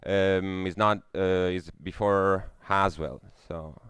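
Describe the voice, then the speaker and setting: A man's voice: low-pitched, steady tone, takes it slowly, fairly bright tone, clear, resonant tone, no echo. A young Italian man with an Italian accent giving a technical conference talk, hesitant, with many fillers and restarts. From Italy. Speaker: conference speaker. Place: lecture room.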